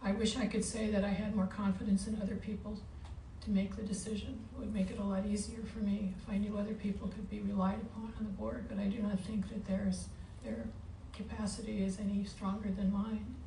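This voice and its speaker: A woman giving a halting, unscripted answer as a candidate at a public forum, repeating words as she goes. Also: medium pitch, flat delivery, dark tone. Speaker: school board candidate at a forum. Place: meeting board room. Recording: echoey board room, distant mic, background noise.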